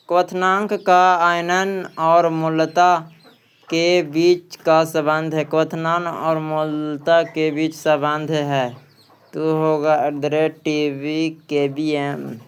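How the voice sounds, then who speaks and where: A man's voice: a little high, clear, resonant tone. A man reads out practice questions and answers as an exam coaching teacher, repeating phrases for emphasis.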